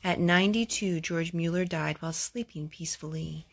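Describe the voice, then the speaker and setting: A woman hosting a children's storytime, reading aloud in an expressive storytelling manner. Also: lowish voice, normal speed, gravelly.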